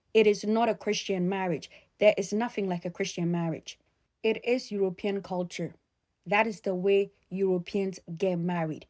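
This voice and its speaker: A woman speaking straight to her audience in an opinion vlog, emphatic and admonishing, repeating key phrases deliberately. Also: mid-range voice, warm tone. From Ghana. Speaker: sociologist addressing followers.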